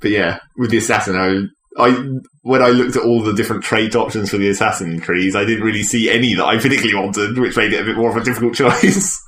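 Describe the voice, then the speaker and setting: A man's voice: on the low side, steady tone, fast, hardly any pauses, fairly bright tone. A man co-hosting a podcast, casually talking through video games in an analytical, explanatory way. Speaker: podcast co-host. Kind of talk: casual gaming discussion. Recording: echoey room, faint background noise.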